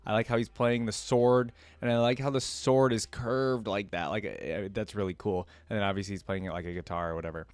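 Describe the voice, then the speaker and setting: A man's voice: fairly low pitch, fairly even intonation, brisk, hardly any pauses, clean tone, no room sound. A man giving casual reaction commentary as a video host, rambling and thinking aloud.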